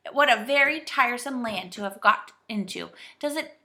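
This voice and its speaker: A woman's voice: fairly high pitch, expressive, moderate pace, sharp tone, heavily acted. A woman voicing a sensible young girl from a children's storybook in a matter-of-fact, slightly exasperated grumble. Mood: grumbling.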